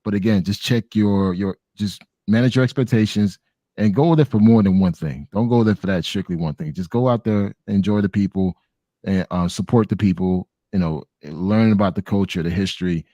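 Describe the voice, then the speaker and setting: Male panel host from Florida, low mellow voice, casual and encouraging, speaking straight to listeners. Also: normal speed, no echo. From Miami, United States. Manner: encouraging, addresses listeners directly. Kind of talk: casual chat.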